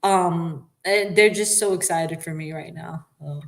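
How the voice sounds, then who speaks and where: A young woman from New York with a New York accent, a boxer chatting candidly in an interview, relaxed and quick to laugh. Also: fairly low pitch, vocal fry. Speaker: professional boxer. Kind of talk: casual interview.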